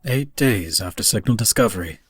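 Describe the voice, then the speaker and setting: A man playing a polite, emotionless space station computer in an audio drama, formal and measured. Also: fairly low pitch, expressive, lightly acted. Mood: impassive, polite. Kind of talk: audio drama acting, formal system announcements. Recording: faint background ambience.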